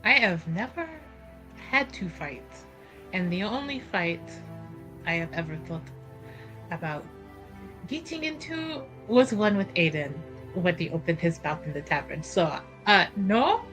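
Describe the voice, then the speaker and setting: Lively woman chatting over music. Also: on the low side, measured pace, dull audio. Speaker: tabletop roleplaying player. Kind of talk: casual chat.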